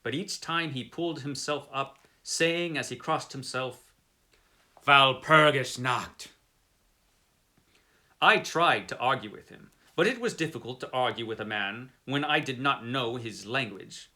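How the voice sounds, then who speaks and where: Man with a bright, theatrical reading voice. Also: medium pitch, lively intonation, normal speed. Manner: theatrical, dramatic narration with wide swings in emphasis. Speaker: reader of a classic horror story. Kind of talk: melodramatic story reading.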